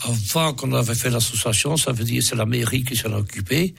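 A man with a cold, speaking as a guest in an interview, hesitant and halting with many restarts. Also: medium pitch, dry recording. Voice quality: hoarse, gravelly. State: has a cold. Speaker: association president, interview guest.

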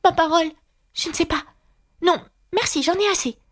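A woman voicing a small, weak, flustered storybook lizard with a feeble, squeaky voice. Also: moderate pace. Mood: dazed, shaken. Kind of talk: storybook character reading.

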